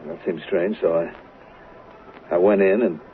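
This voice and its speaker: An actor playing an evasive working-class husband under questioning in a 1970s radio soap, halting and stammering. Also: male voice, low voice, fairly even intonation. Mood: halting, subdued. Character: evasive working-class husband suspected of murdering his wife. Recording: muffled, noisy old radio broadcast.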